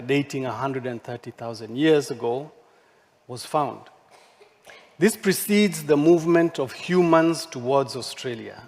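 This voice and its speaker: A man who speaks English as his second language, giving a measured, poetic keynote lecture with deliberate rhetorical questions. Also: mid-range voice. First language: not English. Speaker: architect giving a keynote talk. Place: large hall at a forum. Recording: long hall reverb, stage mic.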